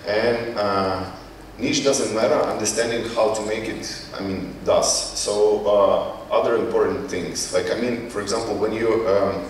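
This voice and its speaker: Echoey, lowish Ukrainian-accented male conference speaker. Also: fairly even intonation. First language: not English. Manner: halting, searching for words in non-native English. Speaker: conference speaker, company CEO. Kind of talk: conference presentation, informal. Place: conference hall. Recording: echoey hall, distant mic, faint noise.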